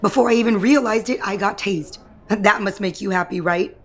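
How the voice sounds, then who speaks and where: An actress playing a defiant, scornful wife in an audio soap opera, with a sarcastic, biting delivery. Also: female voice, medium pitch, normal speed, lightly acted. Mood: biting sarcasm. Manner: sarcastic, cutting.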